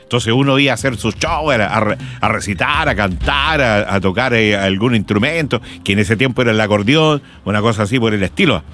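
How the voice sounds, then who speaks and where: A man's voice: fairly low pitch, lively intonation, brisk, few pauses, fairly bright tone, faint music behind. A middle-aged man with a Chilean accent hosting a radio show in casual, joking chat. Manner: jovial, breaks into mimicked voices. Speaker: radio host.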